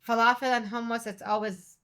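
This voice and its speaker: A middle-aged woman from Jordan, a restaurant owner and chef, speaking English as an in an animated, conversational interview. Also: on the high side, fairly quick. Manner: animated, quotes others with raised voice.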